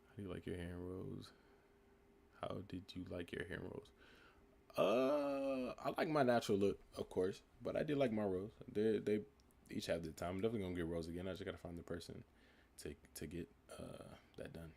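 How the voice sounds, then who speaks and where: A young man from the United States with a calm, laid-back voice, reading live chat questions aloud and answering them in a relaxed, reflective way. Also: deep voice, brisk, gravelly. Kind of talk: casual live stream chat.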